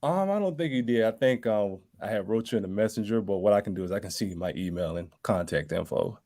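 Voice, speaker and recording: A man's voice: lowish voice, animated, quick pace, warm tone. A man auditioning online, chatting casually and politely with an appreciative manner. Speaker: auditioning actor. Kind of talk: casual, polite video-call chat.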